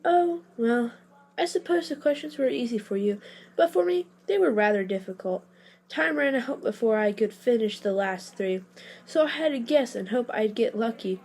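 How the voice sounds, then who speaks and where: A woman's voice: on the high side, lively intonation, normal speed, a little mellow. A woman playing an anxious, bookish young boy in a family audio drama, hesitant and meek. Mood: meek, apologetic. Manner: hesitant, meek, self-deprecating.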